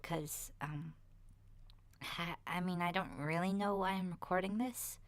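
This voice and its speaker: Woman voicing a slow, soft-spoken, shy young boy. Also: on the low side, fairly even intonation, no room sound, natural voice. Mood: wistful, earnest. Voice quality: soft, breathy, often dropping to a whisper. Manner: hesitant, shy, rambling with sighs and self-corrections. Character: awkward, rambling ten-year-old boy, a zombie apocalypse survivor. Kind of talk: ASMR roleplay, soft-spoken recorded diary monologue.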